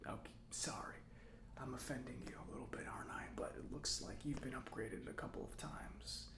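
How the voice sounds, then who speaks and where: Casting: man as nerdy, friendly government robot mechanic for ASMR roleplay; soft near-whisper, rambling, roomy echo. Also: medium pitch, steady tone, brisk, natural voice. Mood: curious, chatty. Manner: rambling, hesitant, casual. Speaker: repair shop mechanic character.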